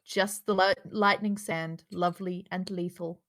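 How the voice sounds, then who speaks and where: A woman's voice: lowish voice, steady tone, measured pace, a bit bright. A woman reading stage directions aloud at a live table read, in an informal narrating style. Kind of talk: live script narration with casual asides.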